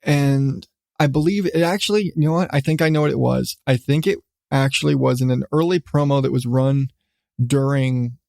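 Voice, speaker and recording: A middle-aged man hosting a casual solo podcast, talking in a rambling, halting way with repeated restarts. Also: medium pitch, gravelly, a little mellow, dry recording, clean studio sound. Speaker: podcast host.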